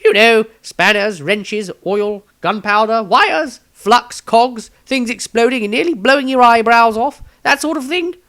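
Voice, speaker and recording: A man voicing an eccentric, self-important wizard in a storybook reading, excitable and pompous. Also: very high voice, unhurried, few pauses, voice pushed up, full character voice. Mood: eager, excitable. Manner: pompous, exclamatory. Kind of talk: storybook character voice.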